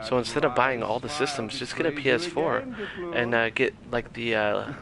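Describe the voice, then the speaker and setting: A man's voice: medium pitch, fairly quick, vocal fry, over a noisy crowd, low-quality recording. A man streaming a game live, chatting casually with viewers and answering their chat. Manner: chatty, reading chat aloud, self-aware about volume. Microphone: headset.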